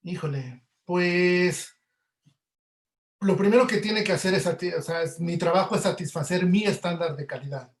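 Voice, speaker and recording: A man with a Mexican accent, giving conversational answers as a guest audio producer in an online webinar. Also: fairly high pitch. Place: indoor room, online webinar call. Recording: roomy, echoey sound.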